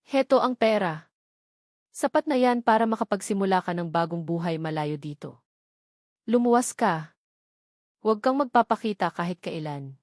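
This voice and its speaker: A woman's voice: mid-range voice, expressive, normal speed, long pauses, darkish tone, no room sound, studio quality, close to an everyday voice. A woman voicing a cold, cruel, wealthy matriarch who speaks in contemptuous, commanding threats in an audio drama.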